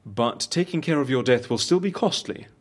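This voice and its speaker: An actor playing a suave, businesslike and wry agency fixer in an audio drama, articulate and persuasive with a theatrical way of talking. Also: male voice, mid-range voice, animated, normal speed, lightly acted.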